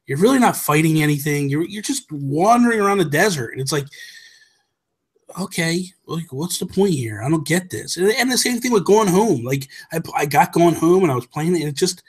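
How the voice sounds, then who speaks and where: Male podcast co-host, middle-aged, slightly high voice, colloquial and animated explainer, casual gaming chat. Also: fairly quick, slightly rough. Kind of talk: casual chat about video games. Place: small room at home. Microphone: desk microphone on a video call, headphones.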